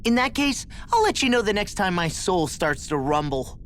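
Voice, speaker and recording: A brash, cheeky young man who jokes and talks cockily. His pitch moves lively, with faint noise behind. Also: normal speed. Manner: cocky, joking.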